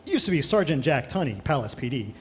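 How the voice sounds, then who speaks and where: An actor playing an easygoing, candid former police sergeant in radio theatre, talking casually and chummily. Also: male voice, medium pitch, sing-song, brisk, a little hiss or hum. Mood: friendly.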